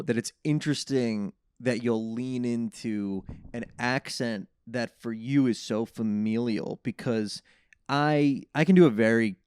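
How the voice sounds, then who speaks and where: A man's voice: lowish voice, darkish tone, clear, resonant tone, no echo. Male comedian chatting casually, dry close sound. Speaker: comedian podcast guest. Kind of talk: casual podcast banter.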